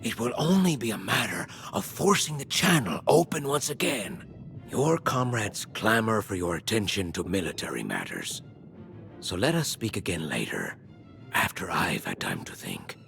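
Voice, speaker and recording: An actor playing a solemn, earnest captive scholar in a video game drama, with measured, theatrical delivery. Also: male voice, fairly low pitch, sing-song, gravelly, fairly bright tone, faint music behind, heavily acted. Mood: hopeful, excited. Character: solemn captive scholar man reading scripture with earnest hope.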